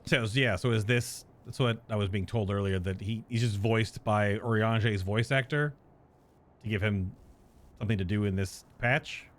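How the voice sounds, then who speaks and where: A man's voice: on the low side, normal speed. A man giving casual live reaction commentary as a game streamer, rambling and thinking aloud. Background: game music.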